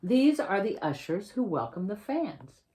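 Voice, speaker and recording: A woman's voice: mid-range voice, big pitch swings, unhurried, warm tone. A woman author reading a picture book aloud, expressively, and explaining details directly to young listeners.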